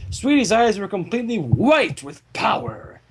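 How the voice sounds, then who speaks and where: A man narrating a fan-fiction story aloud in an animated storytelling style. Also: high voice, normal speed, noisy background.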